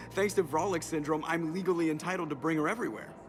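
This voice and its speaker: Male actor as wisecracking crewman, lightly high male voice, sarcastic, casual, video game, music behind. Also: fast talker, lightly acted. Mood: sardonic.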